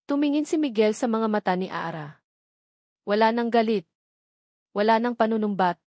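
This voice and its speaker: A woman with a Filipino accent narrates a scripted dramatic story in a measured, solemn way with deliberate pauses. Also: a little high, darkish tone, dry recording, studio quality. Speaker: audiobook narrator.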